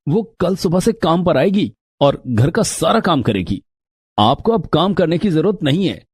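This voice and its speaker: An actor playing a stubborn, mildly exasperated younger brother-in-law in a moral story, insistent in manner. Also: male voice, fairly high pitch, big pitch swings, unhurried, slightly rough, dry recording, heavily acted. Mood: exasperated, placating. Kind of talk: Hindi moral story character acting.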